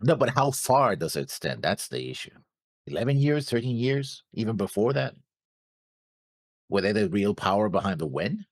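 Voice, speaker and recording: A man with a low voice talks casually as a co-host, questioning and speculating as he goes. His intonation moves widely up and down. The recording is close and dry. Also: sing-song, moderate pace, dry recording. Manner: questioning, thinking aloud. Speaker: reaction show co-host.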